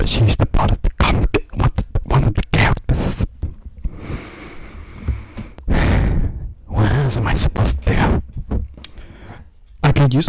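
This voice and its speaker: Slow jokey young man in his bedroom. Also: medium pitch, mellow, slight background noise, poor audio. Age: young adult. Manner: jokey, laughs often, swears. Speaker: creator of his own channel. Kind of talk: joking parody ASMR monologue. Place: small bedroom. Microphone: handheld condenser mic held close, headphones.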